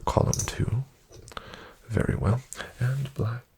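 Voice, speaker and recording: A man playing a courteous, formal casino dealer in a soft-spoken ASMR roleplay, hushed, polite and measured. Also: medium pitch, steady tone, noisy background. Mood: measured, calm. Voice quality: soft, hushed, breathy-edged delivery. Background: card shuffling and clicking.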